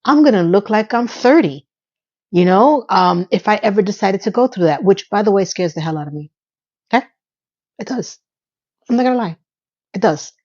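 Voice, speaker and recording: A woman's voice: medium pitch, lively intonation, normal speed, long pauses, a little mellow, highs cut off. A woman in her fifties giving casual, gossipy commentary with plenty of asides and filler. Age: 50s. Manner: chatty, gossipy, with asides and little sung quips. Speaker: YouTube commentator.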